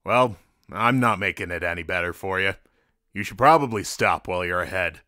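A man's voice: deep voice, fairly quick, sharp tone, no echo, studio quality. A man playing a stressed, stubborn cartoon echidna with a blunt, defensive manner in a fan audio drama. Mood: subdued.

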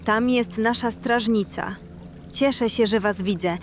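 A woman playing a welcoming, joyful draenei fisherwoman in a fantasy audio drama, cheerful and unhurried. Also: fairly high pitch, slight background noise, close to an everyday voice. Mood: joyful welcome.

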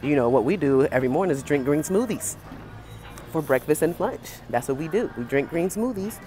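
An American woman in her forties talking casually and emphatically to camera as a fitness vlogger, pausing between phrases. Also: sits low, lively intonation, mellow, soft background music. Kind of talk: casual opinionated talk to camera. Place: indoor gym with high ceiling.

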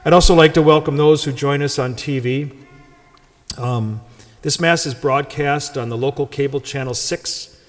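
A man's voice: medium pitch, moderate pace. A man in his sixties, a pastor with an Upper Midwest American accent, reading the Gospel and then giving wry, conversational welcome remarks from the lectern. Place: large church sanctuary. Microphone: lectern microphone. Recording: long church reverb.

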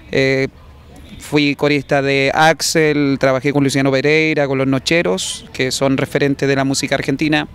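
A man's voice: on the high side, clean tone. A young man with a Chilean accent, a singer answering casually in a promotional interview outdoors into a handheld microphone. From southern Chile. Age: young adult. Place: outdoors in an open public plaza. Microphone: handheld reporter microphone held by interviewer.

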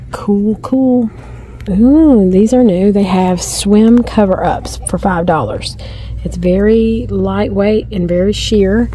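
Chatty middle-aged lady shopping w her phone, mellow voice, faint store hum behind. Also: medium pitch. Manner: chatty, easily excited, thinking aloud. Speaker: shopping vlogger. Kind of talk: casual shop-with-me narration. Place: inside a large discount store. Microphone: handheld phone camera filming her hands.

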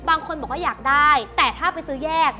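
Young woman host for home shopping, high and bright, eager pitch, faint music behind. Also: fairly even intonation, fast. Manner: eager, coaxing, addresses viewers. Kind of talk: TV shopping presentation. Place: TV studio.

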